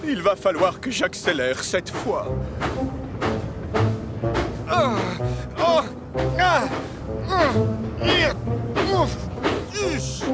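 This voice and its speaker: A proud, stubborn steam engine in a cartoon, who brags and huffs his way up every hill. His voice sits very high and swoops widely. He drags out his words, groaning with effort. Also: huge pitch swings, very slow, long pauses, loud music behind the voice, exaggerated voice. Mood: straining. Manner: boastful, huffing and groaning with effort. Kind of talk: French cartoon dub.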